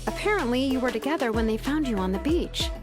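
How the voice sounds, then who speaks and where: A woman's voice: high-pitched, animated, quick pace. A woman playing a cheerful, curious young female inventor in a video game, speaking in a casual, inquisitive way. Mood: musing. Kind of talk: game voice acting. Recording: music clearly behind.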